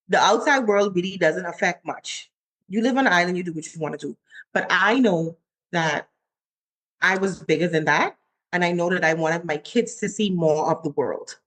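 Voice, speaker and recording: A woman in her forties from the Bahamas, a chef telling her story in a casual interview and acting out her own quotes. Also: low-quality recording. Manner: animated, acts out her own quotes with rising pitch. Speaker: chef, guest.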